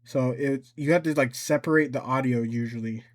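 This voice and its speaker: A man giving an informal step-by-step software tutorial, thinking aloud and somewhat hesitant as he goes. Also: medium pitch, brisk. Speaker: tutorial host.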